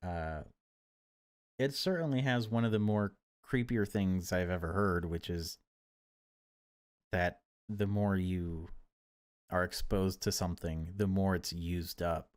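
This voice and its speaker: A man's voice: deep voice, slow pace, pauses often. A man hosting a gaming video, speaking over a horror visual novel he is playing. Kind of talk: dramatic narration of game text, then casual commentary. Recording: music behind the voice. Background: game music.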